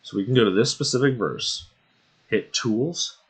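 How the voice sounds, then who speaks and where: A young man hosting a casual Bible study video, working through verses at an unhurried pace, often clearing his throat and saying um. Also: medium pitch. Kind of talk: casual screen-share Bible study walkthrough.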